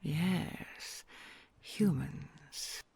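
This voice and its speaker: A woman voicing a kind, gentle female red dragon queen in an ASMR audio roleplay, talking in a soft, soothing, mostly whispered way. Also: low-pitched, extremely slow, creaky, slightly rough, no room sound, full character voice. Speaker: voice actor.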